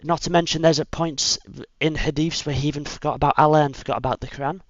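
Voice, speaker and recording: A man argues in a debate at a rapid, pushy clip. His voice sits a little high. The voice chat audio is dry and dull. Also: steady tone, dry recording. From England. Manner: fast, pushy, interrupting. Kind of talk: heated online debate.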